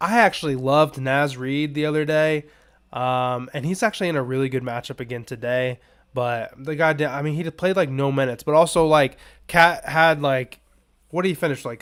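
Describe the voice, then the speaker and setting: A man hosting a sports betting podcast in a loose, rambling, casual way, his voice a little raspy and strained as he is under the weather. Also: on the high side, fairly even intonation, normal speed, a bit bright. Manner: rambling, loose, filler-heavy asides. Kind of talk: casual unscripted betting picks talk.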